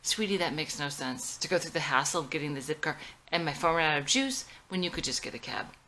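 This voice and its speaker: A dismissive husband , read by a woman in a screenplay drama. The manner is placating and defensive, with lively pitch movement and noise behind it. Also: fast talker. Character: dismissive, placating husband who plays guitar.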